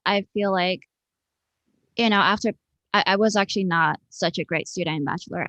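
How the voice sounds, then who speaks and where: Low-pitched woman from Hong Kong, closely recorded. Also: a bit bright, dry recording, lo-fi sound. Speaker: interview guest, architect and researcher. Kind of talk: conversational interview answer. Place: remote online video call.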